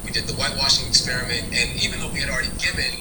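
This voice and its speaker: A man's voice: medium pitch, steady tone, rapid-fire, loud music behind the voice. A man being interviewed on a news program, explaining carefully and methodically with many ums. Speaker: interview guest. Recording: distant roomy remote feed with loud noise.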